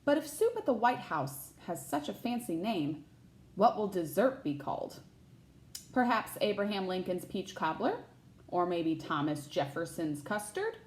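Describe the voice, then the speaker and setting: A woman's voice: mid-range voice, big pitch swings, a little slow, echoey room. A woman reading the part of a daring, playful woman aviator in a children's storybook. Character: adventurous, daring woman aviator, witty dinner guest.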